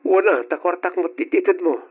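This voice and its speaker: An actor with an Ilocano accent playing a domineering, tight-fisted but doting husband in an old radio drama. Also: male voice, high voice, brisk, husky. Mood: stern, irritated. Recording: dull, lo-fi old radio recording.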